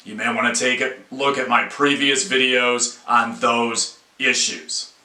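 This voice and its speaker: Casting: American male commentator, hard bright tone, deliberate and opinionated delivery, recorded in a reverberant room. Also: medium pitch, fairly even intonation. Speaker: independent news commentator, video host. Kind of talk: opinion commentary to camera, outlined talk. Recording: echoey room.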